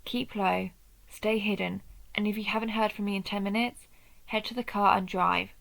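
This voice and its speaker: A woman playing an injured vampire who hunts her own kind, protective, proud and wry, in a measured, intimate audio roleplay. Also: mid-range voice, steady tone, gravelly, fairly bright tone, poor audio. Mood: grave, urgent. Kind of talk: scripted audio roleplay addressed to the listener.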